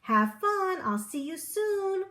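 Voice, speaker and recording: A woman voicing a fond, teasing mother spider in a storybook, with a motherly, gently chiding manner. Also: extremely high pitch, sing-song, takes it slowly, voice pushed up, heavily acted. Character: fond, teasing mother spider, worried about her child.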